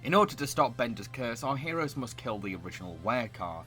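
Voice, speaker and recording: A man's voice: medium pitch, very animated, quick pace, sharp tone, faint music behind. A man reading scripted narration as a reviewer presenting cartoons. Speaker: video essayist reviewing cartoons.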